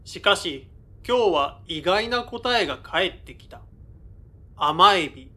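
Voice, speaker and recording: A man playing a deferential young man who narrates an audio drama in the first person, in a measured, understated, literary way. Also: sits high, expressive, sharp tone, heavily acted. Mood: matter-of-fact, observant. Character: young male former student dancer narrating, deferential to elder friend.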